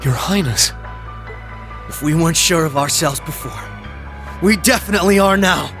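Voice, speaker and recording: A man's voice: on the high side, normal speed, music in the background, natural voice. A man playing an earnest, serious young hero in a video game cutscene, speaking sincerely and directly.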